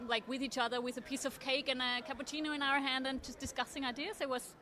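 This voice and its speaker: A woman from Austria, answering interview questions in a thoughtful, measured way at a table at a design fair. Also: high voice, flat delivery, bright, forceful tone. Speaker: interview guest, trend forecaster. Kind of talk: conversational interview.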